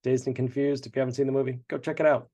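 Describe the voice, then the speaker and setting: A man's voice: mid-range voice, little pitch movement, rapid-fire, warm tone. A congested man, the show's creator, chatting through behind-the-scenes commentary with many fillers. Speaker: show creator.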